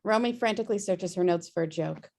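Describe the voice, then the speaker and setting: A woman's voice: fairly low pitch, fast talker, creaky, darkish tone. A woman reading screenplay stage directions as scripted narration on a video-call table read.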